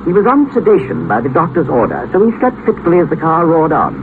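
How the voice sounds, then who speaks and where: An actor playing the urbane narrator of a 1950s radio play, delivering scripted dramatic narration. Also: male voice, fairly high pitch, huge pitch swings, fast, narrow, tinny audio. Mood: composed, wry. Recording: thin, noisy old radio broadcast.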